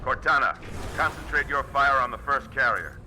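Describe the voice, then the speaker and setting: Stern admiral barking clipped orders. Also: male voice, medium pitch, animated, normal speed, a little hiss or hum. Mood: urgent command. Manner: clipped, authoritative military orders. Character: commanding older male fleet admiral, stern and steady. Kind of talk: dramatic video game voice acting. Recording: loud music and effects behind.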